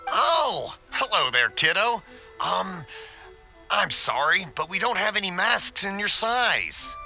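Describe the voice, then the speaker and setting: An actor playing a friendly, easygoing middle-aged festival stall keeper in a game cutscene. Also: male voice, high-pitched, very animated, takes it slowly, gravelly, bright, forceful tone, soft background music, exaggerated voice. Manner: hearty, easygoing and generous.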